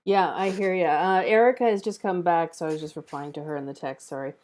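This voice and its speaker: A woman hosts an informal online feedback chat. Her voice is on the low side for a woman and very relaxed and warm in tone, with plain conversational delivery. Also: fast. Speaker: table read moderator. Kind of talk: casual chat, relaying viewer comments.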